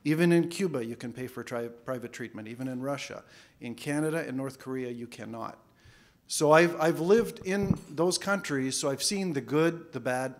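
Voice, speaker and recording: A man addresses a conference audience, casual and a little halting. He often doubles his words. English is not his first language. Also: medium pitch. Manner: casual, repeats words while speaking. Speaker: conference speaker, financial planner. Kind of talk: conference presentation. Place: conference hall.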